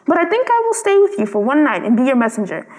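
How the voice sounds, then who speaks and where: A woman's voice: sits high, very animated, fast talker, darkish tone, long reverb tail. A woman voicing a proud, kind-hearted little male swallow in a storybook, chatty and a little self-important. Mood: reluctant, kind.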